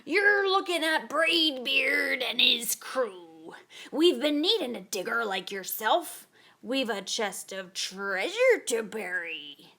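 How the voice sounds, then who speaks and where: A woman voicing a gruff, boisterous male head pirate in a children's storybook, with hearty pirate talk. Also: a little high, very animated, takes it slowly, pushed and bright, full character voice. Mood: commanding.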